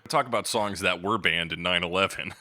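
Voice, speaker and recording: A man's voice: low voice, fairly quick, bright, forceful tone, no room sound, clean studio sound. A man hosting a podcast in casual, conversational chat. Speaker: podcast host.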